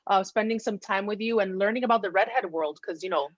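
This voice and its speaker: A woman's voice: medium pitch, quick pace, no room sound. A woman hosting a casual podcast chat, talking in an effusive, chatty way. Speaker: podcast host. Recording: dull, lo-fi close dry audio.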